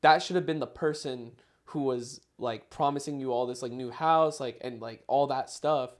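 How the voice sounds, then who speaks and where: A man's voice: mid-range voice, moderate pace. A man hosting a podcast in casual chat, often reacting with short interjections. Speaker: podcast host. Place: podcast studio.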